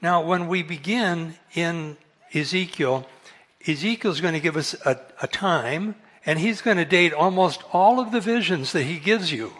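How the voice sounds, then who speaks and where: A soft-spoken man giving a relaxed Bible study talk over a microphone in a meeting hall, correcting himself and chuckling now and then. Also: on the high side, a little slow. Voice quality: soft-voiced. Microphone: amplified microphone.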